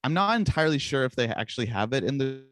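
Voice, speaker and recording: A man's voice: medium pitch, sing-song, quick pace, a bit bright, no room sound. A young man whose first language is Czech, chatting casually as a guest musician, rambling and joking at his own expense. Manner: rambling, self-mocking, laughs often. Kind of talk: casual interview chat.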